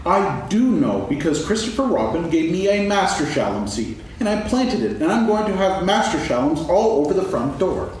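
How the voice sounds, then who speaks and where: A man playing a gentle, absent-minded, food-loving toy bear in a staged children's story reading, musing aloud in a singsong way. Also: a little high, sing-song, moderate pace, few pauses. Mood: earnest. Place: stage, actors seated, live audience recording. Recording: echoey hall from far off, faint noise.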